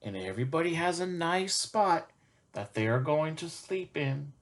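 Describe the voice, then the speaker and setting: A man reading a picture book to young children, expressive and chatty, asking questions as he goes. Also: fairly high pitch, moderate pace.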